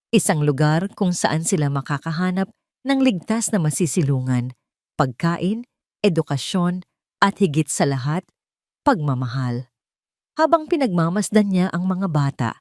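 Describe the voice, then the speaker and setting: A woman's voice: fairly low pitch, a little mellow, no echo, studio quality. A woman with a Filipino accent narrating a dramatic scripted audio story.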